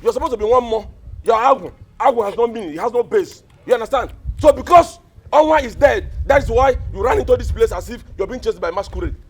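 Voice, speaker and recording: A male actor playing a callous, scheming village elder with a Nigerian accent, scolding and repeating himself in loud, theatrical film style. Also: very high voice, animated, fast talker, breathy edge, full character voice. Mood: scornful anger. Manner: blustering, repetitive, scolding.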